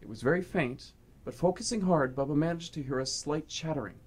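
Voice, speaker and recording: A man's voice: fairly high pitch, animated, brisk, breathy edge. A man reading scripted, dramatic story narration for a radio play.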